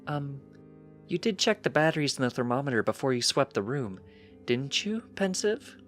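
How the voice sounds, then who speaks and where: A man's voice: mid-range voice, big pitch swings, normal speed, fairly bright tone, faint music behind, voice pushed up. A man voicing a careful, fretful female pony, a precise and chiding wife in a storybook reading. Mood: anxious.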